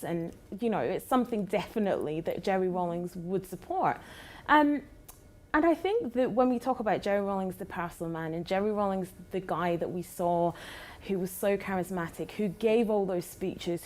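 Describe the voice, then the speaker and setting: Scottish woman journalist, mid-range voice, reflective panel guest speaking in measured phrases. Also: mid-range voice, a little mellow. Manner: thoughtful, talks in phrases with fillers. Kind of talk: discussion panel talk.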